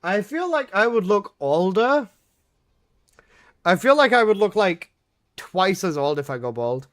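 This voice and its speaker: A man's voice: high-pitched, very animated, unhurried, fairly bright tone. A man in his twenties, a game streamer chatting casually and jokingly with his live chat, swearing often. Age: 20s.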